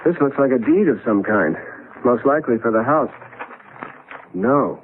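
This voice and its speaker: Actor as agitated stammering husband, tinny vintage radio. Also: male voice, medium pitch, expressive, moderate pace, a little hiss or hum, close to an everyday voice. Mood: puzzled, excited. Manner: stammering, urgent. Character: agitated husband displaced into his great-grandfather's era. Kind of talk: 1970s radio drama acting. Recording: narrow-band tinny vintage radio recording.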